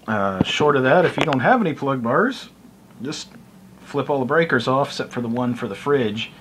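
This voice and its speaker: A man's voice: mid-range voice, a bit bright, a little hiss or hum. A middle-aged man with an American accent gives a casual, conversational, rambling explanation to camera, recorded indoors close to the mic. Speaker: hobbyist vlogger. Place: small room indoors by a curtained window.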